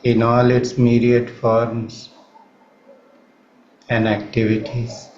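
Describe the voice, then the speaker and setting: A man with an Indian accent leads a guided meditation in a calm, measured manner, leaving long pauses between phrases. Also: mid-range voice. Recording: roomy, slightly muffled, faint background noise.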